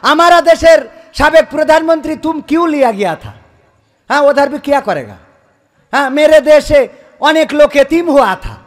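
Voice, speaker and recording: Very high-pitched scolding comic, a man. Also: animated, normal speed. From Bangladesh. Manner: scolding, indignant, colloquial. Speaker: comedian. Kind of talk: comic skit with mock phone call.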